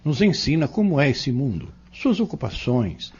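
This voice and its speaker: A man's voice: mid-range voice, big pitch swings, normal speed, a little mellow, slightly rough. A man playing a learned, patient spiritist mentor in an audio novel, speaking formally and didactically with a Brazilian accent. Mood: patient, instructive. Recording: dull lo-fi audio drama recording.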